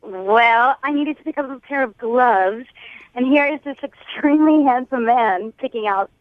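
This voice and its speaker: A woman with a high voice on a narrow, muffled phone line, dry and without room sound. She tells a personal story casually as a radio caller, sounding a little unsure. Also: normal speed, no room sound. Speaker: radio call-in guest. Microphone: phone call. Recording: band-limited phone line.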